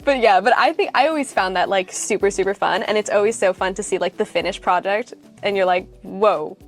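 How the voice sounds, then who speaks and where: A woman's voice: mid-range voice, fairly quick, soft background music. A woman being interviewed as an actress, gushing and slangy as she casually tells stories. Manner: gushing, slangy, animated storytelling.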